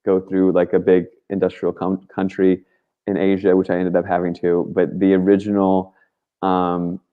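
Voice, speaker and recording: A man with a very low voice speaks in a casual, rambling storytelling style. His delivery is earnest and emphatic, peppered with slang. The audio is somewhat dull and lo-fi, like a call-in show. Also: monotone, normal speed. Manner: informal and slangy, with frequent "like" and mild swearing. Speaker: talk show guest, activist.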